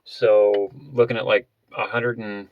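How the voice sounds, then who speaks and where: Guy with kinda low voice doing a casual gear vlog, stop and start, rewords stuff, no room echo. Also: level tone, highs cut off. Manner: hesitant, halting, often trailing off to rephrase. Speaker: vlogger presenting a budget gear setup. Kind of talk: casual unscripted product explanation.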